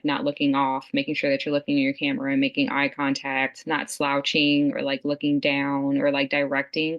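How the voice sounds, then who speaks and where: A woman physician on a video call, giving earnest advice in a monotone delivery. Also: low-pitched. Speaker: physician panelist. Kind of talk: webinar panel advice. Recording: dull lo-fi video call audio.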